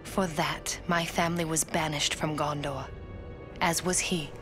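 A woman's voice: deep voice, steady tone, measured pace, music in the background. A woman playing a proud, bitter, banished warrior in a fantasy game. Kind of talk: dramatic fantasy game acting.

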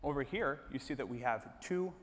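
Man demoing software on a reverberant stage. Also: mid-range voice, normal speed. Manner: steady explanatory, addresses colleagues by name. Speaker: product presenter. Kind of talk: live stage product demo. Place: large stage, hall. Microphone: lapel mic. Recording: reverberant hall.

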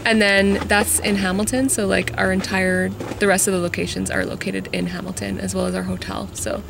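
Young woman, road-trip vlogger, gravelly fry, chatty with lots of ums, car road noise, camera at arm's length. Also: mid-range voice, little pitch movement, fairly quick. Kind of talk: casual road-trip vlog narration. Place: inside a moving car. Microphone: camera held at arm's length.

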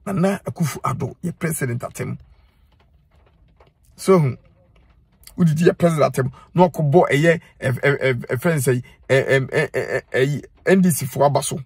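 Husky Ghanaian man lecturing from his car. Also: mid-range voice, normal speed, darkish tone, no room sound, studio quality. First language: Twi. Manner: earnest, admonishing, repeats lines for emphasis. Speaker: social media commentator. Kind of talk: direct-to-camera advice monologue. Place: inside a car. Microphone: phone held close.

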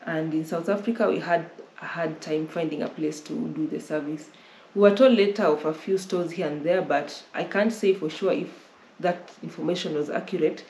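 A woman's voice: low-pitched, steady tone, moderate pace, darkish tone. Young Kenyan woman, casual vlog host, talks it through and rephrases, roomy living room sound. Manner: repeats and rephrases while explaining. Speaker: travel vlogger host. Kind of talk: casual Q&A advice. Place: indoor living room, on a sofa. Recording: roomy with faint noise.

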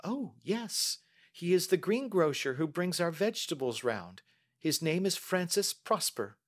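Man putting on an anxious, high-voiced young lady. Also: very animated, unhurried, heavily acted. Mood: candid. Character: earnest, anxious young Victorian lady, pleading and devoted. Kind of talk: audiobook character acting.

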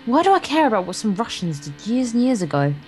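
A woman's voice: fairly high pitch, big pitch swings, fast, a little mellow. A woman reading visual novel narration aloud as a let's play co-host, stumbling and correcting herself as she goes. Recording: guitar music behind. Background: game's guitar music.

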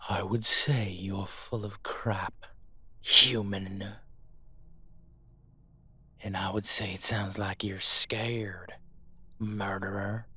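A man voicing a proud, disdainful old vampire in an audio roleplay, speaking breathily in formal, measured phrases. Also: lowish voice, steady tone, pushed and bright, breathy edge, no room sound, exaggerated voice. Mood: coldly menacing.

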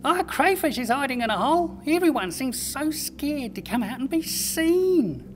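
A woman voicing a curious, excitable young striped fish in a children's puppet show. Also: high voice, moderate pace, a little hiss or hum, voice pushed up. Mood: excited. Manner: excitable, easily baffled.

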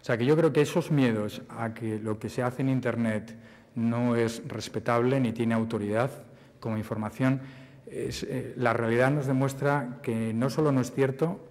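A man's voice: medium pitch, fairly even intonation, normal speed, darkish tone. A man in his forties answering audience questions at a conference podium, thoughtful and repeating words as he searches for phrasing. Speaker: conference speaker. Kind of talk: Q&A answer at a forum. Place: large banquet hall. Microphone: podium mic. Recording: hall reverb.

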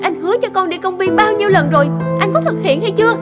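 A woman voicing a hurt, reproachful young wife and mother in a cartoon, with loud music behind her. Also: very high voice, fast talker. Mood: hurt, accusing. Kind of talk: animated cartoon voice acting. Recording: loud background music over voice.

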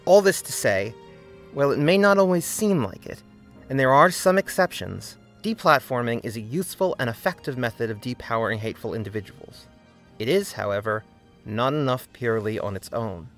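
A man's voice: mid-range voice, expressive, normal speed, fairly bright tone. A man narrating his own scripted video essay, earnest and argumentative, over faint background music.

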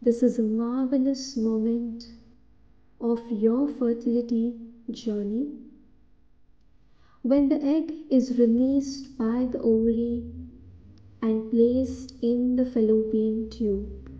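A woman narrating a scripted guided meditation in a soothing, deliberate way, in short phrases with pauses between them. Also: fairly high pitch, flat delivery, mellow, muffled recording.

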